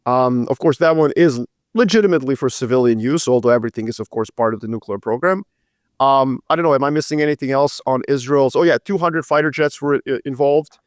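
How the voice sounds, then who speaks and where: A man co-hosting a podcast, laying out news in a measured, analytical way with a few fillers. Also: mid-range voice, dry recording, studio quality. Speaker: podcast co-host. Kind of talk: casual current-affairs discussion.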